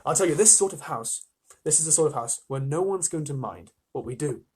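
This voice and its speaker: An eager, confident eldest-brother schoolboy from a storybook, acted out by a man. The voice is a little high and husky, full of old-fashioned British schoolboy enthusiasm. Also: lively intonation, fairly quick, a little mellow.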